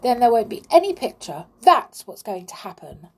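A woman voicing a know-all teddy bear in a children's story, giving emphatic, drawn-out warnings. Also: a little high, very animated, pitched above the performer's own voice. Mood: foreboding.

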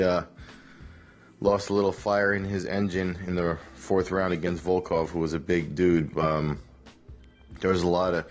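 A man, hungover, hosting a casual solo podcast recap in a relaxed, rambling way with many fillers. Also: sits low. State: hungover, rough voice. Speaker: podcast host, MMA analyst.

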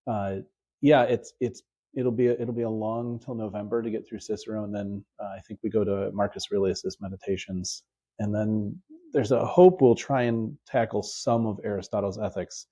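A man's voice: lowish voice, fairly even intonation, normal speed, mellow, breathy edge. A man hosting a podcast and talking casually as a teacher, often repeating words as he thinks. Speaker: podcast host and high school teacher. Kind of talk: casual conversation about teaching.

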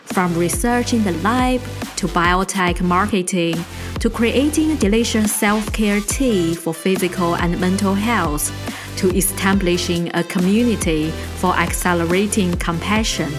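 A woman hosting a podcast, interviewing a guest in a soft-spoken, slightly halting way. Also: medium pitch, over music. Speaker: podcast host.

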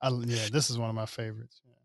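A man from Mississippi chatting as a guest, laid-back and quick to agree. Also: mid-range voice, level tone, vocal fry. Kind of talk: casual chat.

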